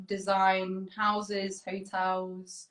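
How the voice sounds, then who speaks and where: A woman's voice: medium pitch, completely flat delivery, extremely slow, fairly bright tone, echoey room, poor audio. A woman, a guest on a casual video-call interview, giggly and apologetic, swearing freely. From Wales. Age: 20s.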